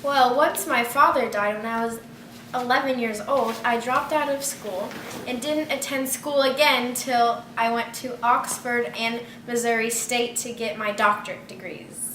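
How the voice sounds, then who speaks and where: A young woman playing a male humorist author who answers plainly and directly. Her voice is a little high. It is recorded from a distance in an echoey room. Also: steady tone, measured pace, fairly bright tone, slight background noise. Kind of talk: school project mock talk show.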